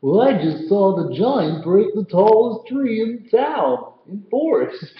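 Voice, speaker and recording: A man's voice: high-pitched, sing-song, slow, pitched above the performer's own voice, heavily acted. A man voicing a tall, cowardly man in a storybook, frightened and shaky. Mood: scared. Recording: roomy, slightly dull recording.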